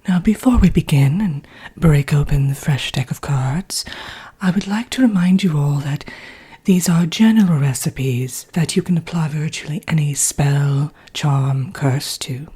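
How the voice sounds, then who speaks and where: A haughty evil queen, acted by a woman, whispers through an ASMR roleplay. Her voice is low and extremely breathy, with a dark, soft tone. She instructs with deliberate, wry condescension, trailing into hesitant asides. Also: full character voice. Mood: haughty, instructive. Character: haughty, dry evil queen villainess teaching a workshop.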